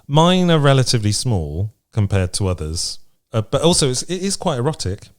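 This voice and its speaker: A man's voice: mid-range voice, big pitch swings, moderate pace. A man co-hosting a podcast, chatting casually and frankly in a matter-of-fact way. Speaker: podcast co-host. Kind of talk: casual chat. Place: remote podcast recording.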